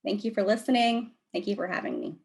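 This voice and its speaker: A woman delivers prepared panel remarks over a video call at a mid-range pitch. Her reading is measured and earnest, as an academic addressing a symposium. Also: very animated, creaky, a little mellow.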